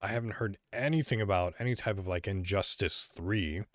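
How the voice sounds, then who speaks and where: A man from Illinois hosts his own podcast alone, thinking aloud as he goes. His voice sits very low, and he drifts between thoughts with plenty of fillers and false starts. The sound is dry and close, with no room around it. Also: fairly quick, no room sound. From Illinois, USA. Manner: rambling, hesitant, many fillers and restarts. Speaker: podcast host. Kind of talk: solo casual podcast monologue.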